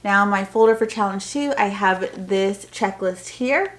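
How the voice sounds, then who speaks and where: A woman's voice: mid-range voice, a little slow, slight background noise. A woman speaking casually to viewers as a homeschooling vlogger, explaining things in a chatty, informal way with loose, trailing sentences. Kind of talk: casual unboxing review to viewers.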